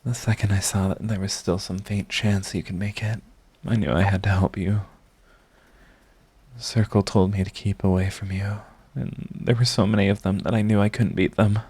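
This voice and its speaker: A man's voice: on the low side, steady tone, normal speed, darkish tone, breathy edge, no room sound. A man playing a proud villain who is secretly devoted to his rival, in an intimate, emotional audio roleplay. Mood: shaken, grave, then urgent, pained. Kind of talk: dramatic ASMR audio roleplay.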